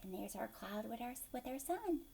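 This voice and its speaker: A woman teacher reading aloud to young children, expressive, putting questions to the listener and often dropping into a hushed voice. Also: on the high side, creaky, background noise. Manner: expressive, asks questions and pauses as if answered.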